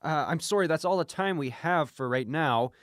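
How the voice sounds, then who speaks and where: A man's voice: on the high side, moderate pace, dry recording, studio quality, lightly acted. A man playing a polite, earnest and credulous interview host in an improvised radio comedy. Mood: awed.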